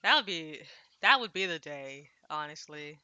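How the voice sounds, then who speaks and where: A woman's voice: deep voice, lively intonation, normal speed, bright, forceful tone, clean tone, dry recording, muffled recording. A woman streaming a visual novel, reading translated dialogue aloud and adding casual, slangy asides. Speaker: game streamer.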